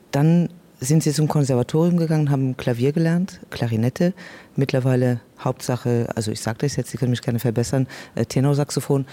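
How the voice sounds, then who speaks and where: A man's voice: fairly high pitch, mellow. A man interviewing a guest, asking polite questions in a formal, hedging way.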